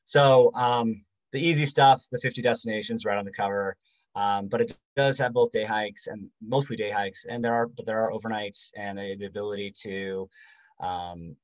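A man in his forties giving a casual book talk over a video call, halting with many ums and restarts. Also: on the low side, fairly even intonation, low-quality recording. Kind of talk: casual presentation. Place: home room.

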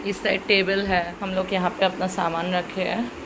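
A young woman talks into a phone held at arm's length, chatty and quick to exclaim. Her voice sits at an ordinary pitch for a woman. The phone recording is noisy, with clear background hiss and ambience. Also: level tone. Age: young adult. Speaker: travel vlogger. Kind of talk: casual travel vlog narration. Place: hotel room, then outdoor rooftop pool. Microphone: handheld phone at arm's length.

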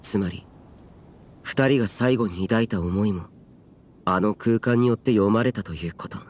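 An actor playing a stern, reserved guardian warrior in a game cutscene, speaking formally in a measured, restrained and archaic way. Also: male voice, on the low side, a little mellow, lightly acted. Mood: grave, reflective. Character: stern, stoic yaksha guardian, reserved and grave. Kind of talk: dramatic game cutscene dialogue.

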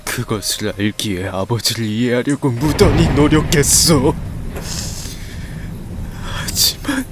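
A man voicing a bitter young Joseon crown prince pleading with his father in a historical audio drama, slow and resentful. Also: mid-range voice, lightly acted. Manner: slow, resentful delivery, breaking into pleading cries. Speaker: voice actor. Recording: with music underneath.

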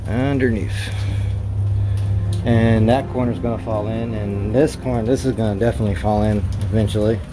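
A man exploring an abandoned barn, giving casual, relaxed commentary on an action camera. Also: on the low side, moderate pace, over music. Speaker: explorer vlogger.

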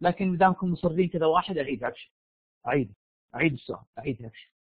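A man's voice: a little high, lively intonation, rapid-fire, slightly rough. A man with a Saudi accent and a tired, strained voice, lecturing a live online class informally, repeating phrases and prodding students to answer. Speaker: university lecturer. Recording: dull lo-fi online audio, roomy echo.

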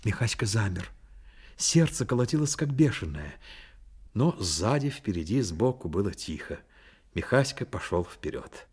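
A man narrating a radio play as a grave storyteller, in a measured, dramatic way. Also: on the low side, big pitch swings, a little mellow, slightly rough, heavily acted. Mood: tense.

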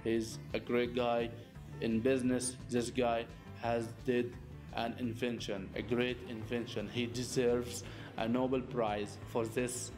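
A man's voice: medium pitch, level tone, takes it slowly, over music. Earnest man teaching business to camera. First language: Arabic. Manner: earnest, repeats phrases, non-native grammar slips. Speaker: business channel host. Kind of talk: presenting a masterclass to camera. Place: small office with lamps and chairs. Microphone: seated facing camera, medium shot.

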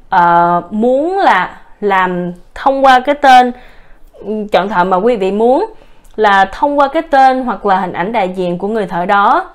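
A woman giving a formal, step-by-step voice-over walkthrough of salon booking software, politely addressing her viewers. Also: medium pitch. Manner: explains step by step, with occasional restarts. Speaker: software demonstrator. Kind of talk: screen-recorded software tutorial. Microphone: voice-over narration over a screen recording.

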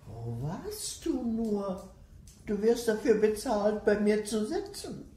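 A woman's voice: medium pitch, sing-song, slow, dark tone, roomy sound, soft background music. An actress playing a frail, cunning old lady in a radio play, commanding and pleading by turns. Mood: commanding, secretive. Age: older. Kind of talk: German radio play acting.

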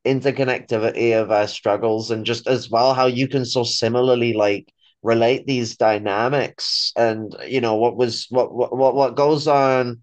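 A man's voice: medium pitch, steady tone, moderate pace. A young adult man in casual political discussion, rambling and swearing freely. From Ireland. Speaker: political forum guest. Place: small room at home, video call. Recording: dull lo-fi call audio.

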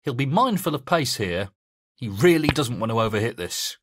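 A man's voice: mid-range voice, sing-song, normal speed, background noise, close to an everyday voice. A man voicing a keen, observant snooker commentator in a sports video game. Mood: measured, analytical.

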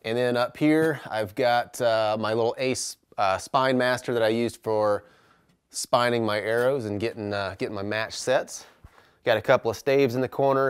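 A man in his thirties talks through his bow-building tools in an unhurried, hands-on way, pausing often on "uh." His mid-range voice comes across smooth and clear. The recording is dry, with almost no room sound around him. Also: medium pitch, dry recording. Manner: relaxed and practical, many "uh"s, trails off quietly at ends of thoughts. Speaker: bowyer giving a shop tour. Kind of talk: casual walkthrough explaining his tools. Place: large workshop with plywood walls, indoors.

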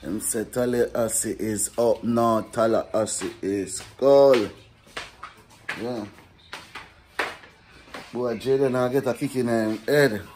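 A man's voice: mid-range voice, fairly even intonation, takes it slowly, long pauses, a little mellow. A young man with a Caribbean accent chatting casually and slangily to his vlog, repeating catchphrases. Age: young adult. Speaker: vlogger. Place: hotel room and outdoor walkway. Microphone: phone at arm's length. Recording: handheld phone with faint background noise.

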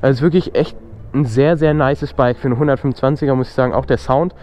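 A young man vlogging casually while riding a motorcycle, excited and slangy, talking straight to his viewers. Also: mid-range voice, fast, lo-fi sound, faint music behind. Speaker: motovlogger. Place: outdoors on a motorcycle, city streets and open road. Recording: wind and engine noise.

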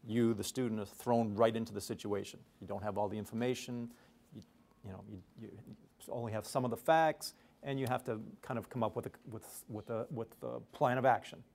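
A man's voice: medium pitch, fairly even intonation, a little fast, a little mellow. A middle-aged man, a professor, teaching informally and explaining step by step in a conversational way in a classroom. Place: tiered university classroom.

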